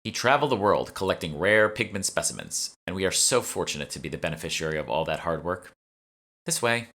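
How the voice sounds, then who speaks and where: A man's voice: low-pitched, expressive, a little fast, sharp tone, exaggerated voice. A man voicing a polite, earnest woman curator who explains things courteously in an audiobook. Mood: guiding. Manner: courteous, informative, eager to please. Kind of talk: audiobook character dialogue.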